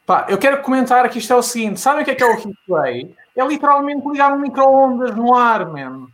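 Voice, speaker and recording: A man from the United States with a high-pitched voice talks as a panelist on a comedy show. His delivery is casual, halting and rambling, sprinkled with English slang. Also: big pitch swings, fairly bright tone. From Missouri, United States.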